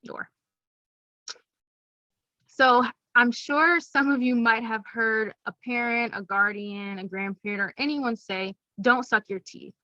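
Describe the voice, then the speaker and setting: A woman's voice: fairly high pitch, fairly even intonation, slow pace, pushed and bright, dry recording, dull audio. A young woman giving an upbeat, teacherly presentation to schoolchildren, explaining things plainly. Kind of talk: recorded classroom presentation.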